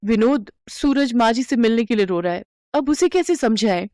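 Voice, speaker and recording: A woman's voice: on the high side, expressive, normal speed, mellow, no room sound, clean studio sound, voice pushed up, lightly acted. A woman voicing an anxious mother in a storybook tale, speaking in a plaintive, pleading manner. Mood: worried.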